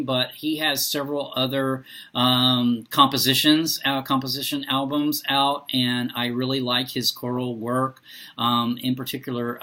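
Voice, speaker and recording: A man talking casually and unscripted as a vlogger, halting often as he searches for his words. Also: mid-range voice, pushed and bright. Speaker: book and reading vlogger. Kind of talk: casual unscripted vlog talk.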